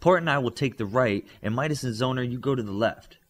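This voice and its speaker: A man reading the part of a bossy, analytical child who directs a superhero team, in brisk instructions. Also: medium pitch, close to an everyday voice. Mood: confident, tactical.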